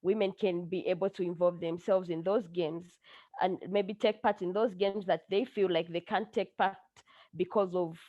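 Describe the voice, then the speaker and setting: A woman from Zambia speaking earnestly, in a halting way with many fillers, over a video call. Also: on the low side, steady tone, dry recording, muffled recording. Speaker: judo association mentee presenting.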